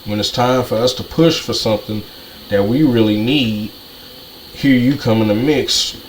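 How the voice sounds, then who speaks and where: A man's voice: mid-range voice, moderate pace, rough recording. Ranting man with faint noise behind. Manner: ranting, repetitive, profane. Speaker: commentator. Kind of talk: heated monologue.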